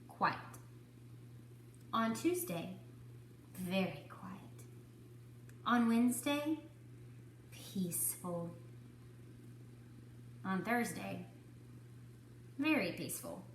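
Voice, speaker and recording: A woman reading a children's story to kindergarteners, with deliberate, dramatic phrasing. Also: medium pitch, expressive, a bit bright, reverberant, a little hiss or hum. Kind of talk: expressive children's story narration.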